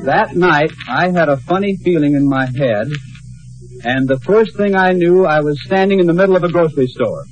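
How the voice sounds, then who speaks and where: A man's voice: a little high, normal speed, dull audio, close to an everyday voice. Man playing a meek, earnest defendant. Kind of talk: 1950s radio comedy acting. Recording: old-time radio broadcast recording.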